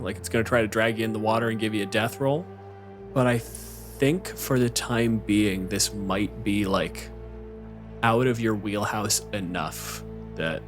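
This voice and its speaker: A man narrating an improvised tabletop roleplaying game as game master, in a casual, sweary way. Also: fairly low pitch. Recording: music playing behind the voice. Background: background music.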